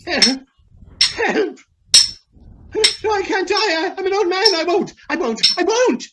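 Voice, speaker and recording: A man's voice: very high voice, big pitch swings, a little slow, heavily acted. Guy doing a crabby scared old man in a radio play, scolding and hollering, really noisy clattery audio. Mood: panicked. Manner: blustering, scolding, crying out. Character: cantankerous old man trapped in a flooding mine, terrified of dying. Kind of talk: radio drama acting. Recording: very noisy track with clinks and clatter.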